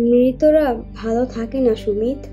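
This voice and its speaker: Lady doing a sad ghost girl for a horror cartoon, high plaintive voice, music loud behind. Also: measured pace, dull audio, close to an everyday voice. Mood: eerie, sad. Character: sorrowful ghost of a dead young woman. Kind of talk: Bengali animated horror story acting.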